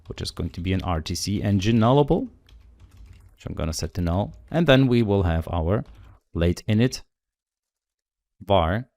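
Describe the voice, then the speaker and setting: A man walks through a programming tutorial, explaining each step in a steady, matter-of-fact way as he types. His voice sits on the low side and is recorded close and dry, with almost no room sound. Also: gravelly, no room sound. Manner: steady explanatory, thinking aloud while typing. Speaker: coding tutorial instructor. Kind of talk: screen-recorded coding walkthrough.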